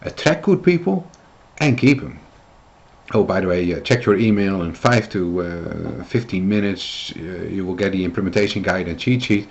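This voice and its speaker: Dutch-accented man, low-pitched business coach. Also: expressive, vocal fry, muffled recording, a little hiss or hum. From Netherlands. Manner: earnest, measured, lecturing to the viewer. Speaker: business consultant. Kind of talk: instructional video explainer.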